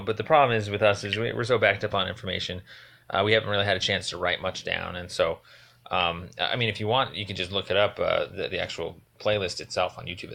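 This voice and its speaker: A man on a phone call, giving a rambling, earnest and halting account of his complaint as a caller. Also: low voice, creaky, pushed and bright. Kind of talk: phone conversation, explanatory account.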